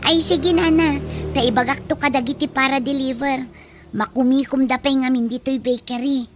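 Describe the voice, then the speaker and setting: A woman's voice: high-pitched, steady tone, normal speed, close to an everyday voice. A woman playing a hardworking, polite young mother and dutiful daughter in a radio drama. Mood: cheerful. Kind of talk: comedy radio drama acting. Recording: noticeable background noise.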